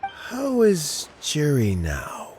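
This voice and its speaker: An actor playing a wise, courteous elderly statesman in a video game, with a gravelly voice and formal, deliberate manner. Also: on the high side, huge pitch swings, background noise, heavily acted. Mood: concerned.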